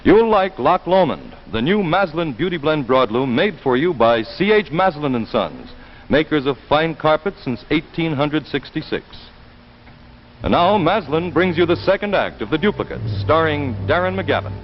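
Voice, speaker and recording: A man's voice: fairly high pitch, expressive, moderate pace, a little hiss or hum. A man announcing a scripted 1950s sponsor commercial in a folksy, conversational sales style. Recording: muffled 1950s broadcast recording.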